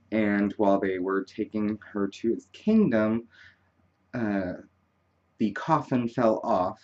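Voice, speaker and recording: Slow-talking man rambling through a makeup tutorial. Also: on the low side, a little mellow, dull audio. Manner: chatty, wandering, self-deprecating. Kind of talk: casual rambling tutorial chat.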